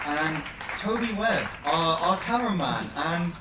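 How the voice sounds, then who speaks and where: A man's voice: a little high, sing-song, measured pace, over a noisy crowd. A man presenting a casual, chatty cast interview as host, with a playful, jokey manner. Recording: roomy, noisy set with people chattering.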